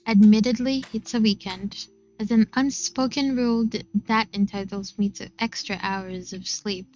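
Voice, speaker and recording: A woman reading a visual novel aloud in an expressive, unhurried first-person narration. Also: medium pitch, dull audio. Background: game music.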